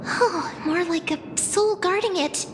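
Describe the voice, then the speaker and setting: A woman's voice: very high voice, measured pace, noisy background. A woman voicing a cute, frank little girlish companion in a video game, with a childlike, exclaiming manner. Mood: chirpy, frank. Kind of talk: cartoonish video game voice acting.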